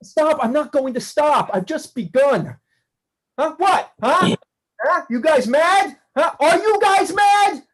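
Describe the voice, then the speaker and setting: A woman's voice: sits high, lively intonation, a little slow, hardly any pauses, slightly rough, full character voice. A woman playing a defiant adult son who rants sarcastically. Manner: ranting, sarcastic, confrontational.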